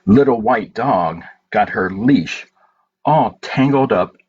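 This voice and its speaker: Slow-paced man reading for children, muffled sound. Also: lowish voice, lively intonation, a little mellow, husky. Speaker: library storytime host. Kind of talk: children's storytime read-aloud with singing. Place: at home, indoors. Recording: dull home recording with background noise.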